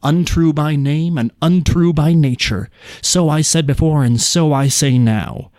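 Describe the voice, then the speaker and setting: A man playing a calm, helpful young hero in a folk tale, speaking plainly and matter-of-factly. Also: fairly high pitch, unhurried, dry recording, studio quality, natural voice. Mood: frank, forgiving.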